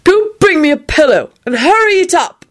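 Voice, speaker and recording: A woman's voice: sits high, sing-song, fairly quick, voice pushed up. A woman voicing a demanding, sulky drunk young man who bellows and breaks into sing-song in a storybook reading.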